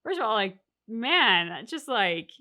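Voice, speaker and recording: A woman's voice: mid-range voice, very animated, a little slow, bright, forceful tone. A woman chatting casually as a reaction co-host, reflecting and explaining her points at length with examples. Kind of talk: casual discussion of a video game story.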